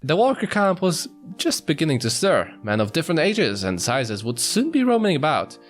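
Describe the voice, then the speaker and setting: Male streamer, visual novel narration, animated pitch, slightly high voice, music behind. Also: moderate pace. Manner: expressive, stumbles over words.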